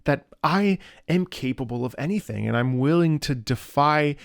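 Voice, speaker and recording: A man giving casual music analysis as a reaction host, thoughtful and halting with emphatic bursts. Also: fairly high pitch, expressive, vocal fry, dry recording, clean studio sound. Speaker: reaction host, opera singer.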